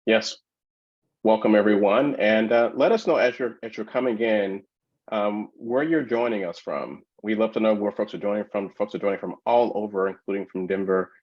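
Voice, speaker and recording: A man's voice: on the low side, normal speed. A man hosting a virtual conference session, welcoming the audience and talking in an encouraging way with many fillers. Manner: warm, encouraging, lots of fillers. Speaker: host and workshop presenter. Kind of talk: welcoming conference webinar talk. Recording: dull lo-fi video call audio.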